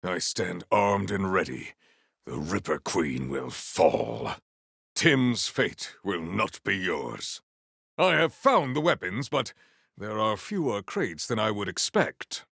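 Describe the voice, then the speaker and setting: An actor playing a proud, honorable warrior in a video game, speaking in a stately, theatrical, formal way. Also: male voice, lowish voice, big pitch swings, slow, vocal fry, fairly bright tone, breathy edge, dry recording, studio quality, exaggerated voice. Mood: solemn, resolute. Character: proud, honorable, formal warrior, adult man, earnest and grandiose. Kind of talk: video game character voice lines.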